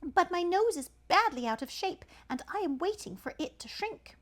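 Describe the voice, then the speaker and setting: A woman's voice: very high-pitched, expressive, moderate pace, fairly bright tone, pitched above the performer's own voice. A woman voicing a polite, naive young boy elephant in a storybook, meek, courteous and earnest. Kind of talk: storybook read-aloud character.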